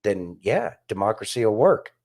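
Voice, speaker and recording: A man's voice: on the low side, very animated, normal speed, a little mellow. A man co-hosting a casual political discussion, speaking emphatically and repeating phrases for stress. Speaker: podcast co-host.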